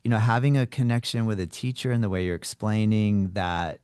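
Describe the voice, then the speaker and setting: A man's voice: lowish voice, a little fast, darkish tone, clean tone, no echo, clean studio sound. A man hosting a podcast, asking curious, rambling questions on a video call. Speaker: podcast host. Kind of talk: casual interview conversation.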